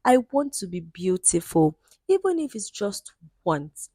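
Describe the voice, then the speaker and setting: A woman's voice: medium pitch, sing-song, unhurried, warm tone, husky, heavily acted. A woman voicing a kind, insecure young village woman in a folktale, earnest and plaintive. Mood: wistful.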